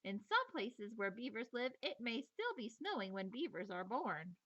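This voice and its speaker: A woman teacher reading a children's nature book aloud in an expressive, playful storytelling manner, with chatty asides. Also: on the high side, moderate pace, highs cut off.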